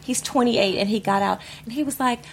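A woman chatting casually on a panel, expressive and inclined to act out what people say. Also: on the high side, fairly quick, slight background noise. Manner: expressive, acts out quotes. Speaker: show panelist. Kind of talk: casual chat with anecdotes.

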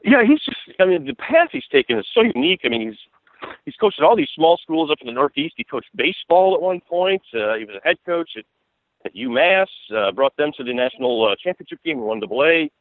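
A man's voice: fairly high pitch, big pitch swings, fairly quick, no room sound. An American man giving conversational sports analysis as a guest, rambling and repeating himself for emphasis. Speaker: sports beat writer, interview guest. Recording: tinny narrow phone line.

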